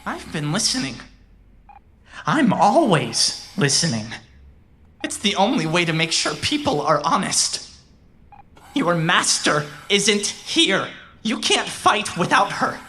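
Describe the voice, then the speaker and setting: A woman's voice: on the low side, sing-song, takes it slowly, long pauses, bright, forceful tone, heavily acted. A woman playing a bitter, possessive digital mind trapped without a body, brooding and taunting in a cyberpunk audio drama. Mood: accusing, menacing.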